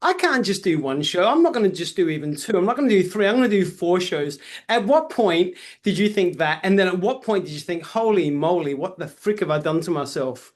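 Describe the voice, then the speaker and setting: A man's voice: high-pitched, lively intonation, brisk, hardly any pauses. An Australian man hosting a casual interview, chatting enthusiastically and playfully with mild exclamations. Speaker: interviewer, host. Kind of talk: casual promotional interview chat.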